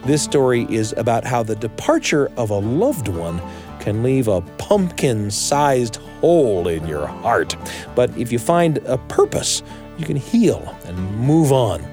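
Animated man hosting a storytelling show. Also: mid-range voice, a little slow, music in the background. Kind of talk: scripted, upbeat radio introduction.

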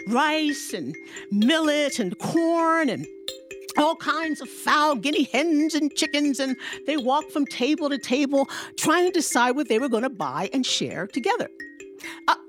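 A woman performs a children's tale in a high voice at a slow pace. She leans on rhythmic repetition and playful emphasis, with faint music present. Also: lively intonation, a bit bright. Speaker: storyteller. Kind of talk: animated oral storytelling for children.

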